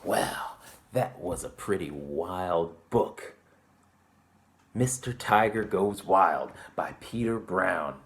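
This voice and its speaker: A man presents a picture book for children at an unhurried pace. His pitch moves widely as he reads, with a genteel, theatrical manner and little asides to his young listeners. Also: expressive, fairly bright tone. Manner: polite, genteel, theatrical delivery. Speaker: library storytime presenter.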